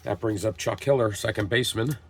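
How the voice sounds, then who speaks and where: A man's voice: lowish voice, brisk, a little hiss or hum. A man hosting a tabletop baseball game, reading lineups and calling plays like a broadcaster while getting over a cold. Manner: steady, reads lineups and calls plays like a broadcaster. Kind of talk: play-by-play narration of a dice game.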